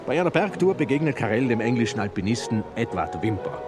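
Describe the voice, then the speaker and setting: A man's voice: medium pitch, very animated, quick pace, loud music behind the voice, lightly acted. An actor playing a proud male mountaineer and filmmaker, narrating in a theatrical radio drama style. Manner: theatrical, storytelling. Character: proud male mountaineer-filmmaker presenting his own film.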